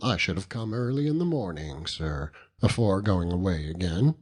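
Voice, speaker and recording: A man's voice: low-pitched, lively intonation, moderate pace, voice pushed down. A man voicing a humble, devoted old fisherman with speech, earnest and plain-spoken, for an audiobook. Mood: warm greeting. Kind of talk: audiobook character reading.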